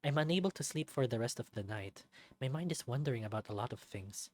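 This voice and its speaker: A man reading a visual novel aloud as narrator, in an expressive, dramatic storybook style. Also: mid-range voice, fast, no echo.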